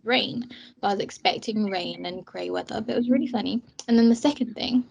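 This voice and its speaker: A woman's voice: mid-range voice, a little fast, vocal fry, a little mellow, dull audio, a little hiss or hum. A young woman from Bangladesh, a student union president, chatting casually and laughing often as she tells her story. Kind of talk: casual chat while eating snacks. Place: indoors, student union room.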